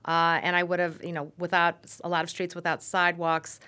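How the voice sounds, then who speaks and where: A middle-aged American woman answering as an expert guest in an interview, explaining in a measured way and often correcting herself mid-sentence. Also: lowish voice, completely flat delivery, pushed and bright. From suburbs of Saint Louis, Missouri, USA. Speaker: urban planning expert, guest. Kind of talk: thoughtful spoken interview answers.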